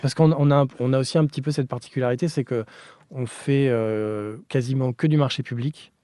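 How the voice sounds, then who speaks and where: A man's voice: mid-range voice, fairly even intonation, a little fast, mellow, no echo, clean studio sound. A man giving conversational answers as an architect being interviewed.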